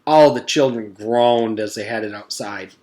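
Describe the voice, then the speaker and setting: A man reading a children's storybook aloud as narrator, in the animated manner of a teacher reading to pupils. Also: fairly low pitch, a little slow.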